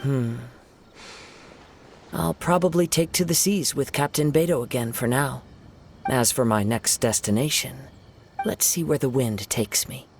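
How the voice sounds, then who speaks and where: A man playing a gentle, thoughtful young wandering swordsman in a video game, speaking in a measured, formal, reflective way. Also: mid-range voice, slight background noise, lightly acted. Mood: calm, resolute. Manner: soft-spoken, measured, reflective, formal phrasing. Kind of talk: video game character acting. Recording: music behind the voice. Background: game background music.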